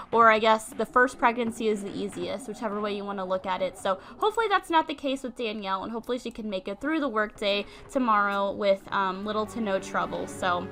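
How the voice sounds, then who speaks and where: A woman's voice: medium pitch, fairly bright tone. A young woman with a congested voice, chatting casually with lots of ums as she narrates a life-sim game. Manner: chatty, many ums and likes. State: congested from a cold. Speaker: let's-play host.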